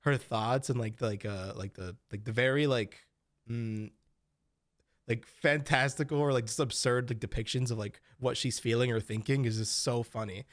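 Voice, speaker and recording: A man's voice: mid-range voice, steady tone, normal speed, no echo, studio quality. A young man co-hosting a podcast, chatting casually with lots of fillers and swearing as he rambles. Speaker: podcast co-host. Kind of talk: casual chat.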